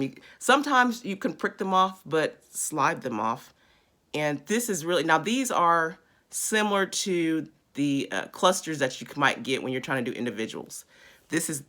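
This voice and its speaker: A woman in her thirties with a raspy voice, chatting casually while she demonstrates a beauty routine close to a phone camera indoors. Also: sits low, bright, forceful tone. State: raspy after illness. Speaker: beauty vlogger. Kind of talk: casual how-to demo. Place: small indoor room.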